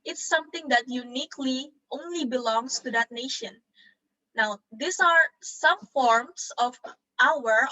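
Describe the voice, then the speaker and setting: A woman's voice: high voice, sharp tone, distant mic, low-quality recording. A woman from Indonesia giving a civics lecture over slides, explaining patiently and repeating the key terms for her students. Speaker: civics teacher.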